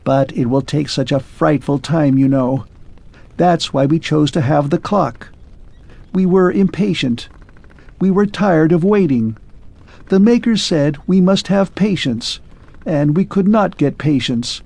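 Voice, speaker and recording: A man voicing a melancholy clockwork man who speaks in a shrill, faint, pleading way. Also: unhurried, vocal fry, warm tone. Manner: pleading, wistful, grows faint and distant.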